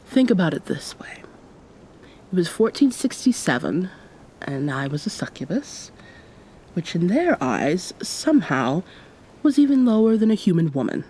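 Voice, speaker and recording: An immortal succubus pirate captain, acted by a woman in an intimate ASMR roleplay. Her voice is fairly low and dark, soft and often nearly whispered, with formal, old-fashioned wording. She sounds affectionate and teasing yet commanding, over a faint low rumble. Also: big pitch swings, normal speed. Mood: wry storytelling.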